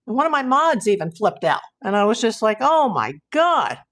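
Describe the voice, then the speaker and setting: Hoarse woman rambling on a livestream. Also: fairly high pitch, big pitch swings, moderate pace, fairly bright tone. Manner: rambling, exasperated, talks to chat viewers. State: hoarse, losing her voice. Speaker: YouTube livestream host. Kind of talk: casual livestream chat reading comments.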